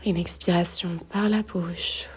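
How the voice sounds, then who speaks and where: A woman guiding a slow meditation in a soft, breathy near-whisper, gently giving unhurried instructions with long pauses. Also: lowish voice, fairly even intonation, noisy background. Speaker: yoga nidra guide.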